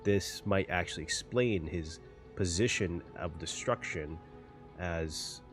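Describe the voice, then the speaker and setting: A man giving casual reaction commentary on a game story, thinking aloud and rephrasing as he theorizes. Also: sits low.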